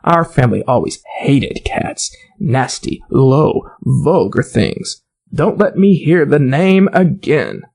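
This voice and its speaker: Man voicing an indignant, gravelly storybook mouse. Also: medium pitch, big pitch swings, darkish tone, exaggerated voice. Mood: scornful, angry. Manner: passionate, scornful, slow emphatic delivery. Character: touchy, indignant male talking mouse. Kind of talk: storybook character voice.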